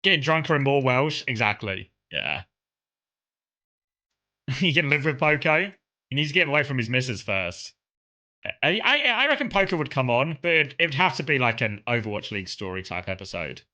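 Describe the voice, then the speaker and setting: A young streamer from Kent with a southern English accent talks to his viewers in a loose, rambling way, stopping and starting often. His voice is bright and clear and sits at an ordinary middle pitch for a man. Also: moderate pace. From England (Kent). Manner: rambling, relaxed, many fillers and restarts. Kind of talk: casual chat with stream viewers.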